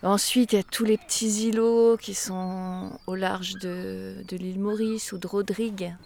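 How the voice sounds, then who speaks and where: A woman's voice: mid-range voice, flat delivery, measured pace, creaky, darkish tone. A woman, a volunteer housekeeper at a sailing base, chatting and reminiscing with hesitations in an interview. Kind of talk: casual interview. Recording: noisy outdoor recording.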